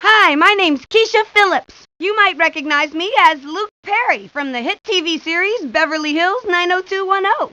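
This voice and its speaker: Squeaky bright little girl, cocky jokester, cartoon game style. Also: expressive, normal speed, hardly any pauses, dry recording, highs cut off, full character voice. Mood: sassy, playful. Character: sassy playful little girl jokester and show-off. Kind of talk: cartoon game character lines.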